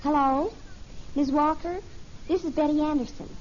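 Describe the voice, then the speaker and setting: Woman playing high-voiced, earnest teenage girl. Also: fairly even intonation, moderate pace, a little mellow, natural voice. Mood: eager, polite. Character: earnest, eager teenage daughter organizing things. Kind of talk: 1950s radio sitcom acting. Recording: muffled, noisy old radio recording.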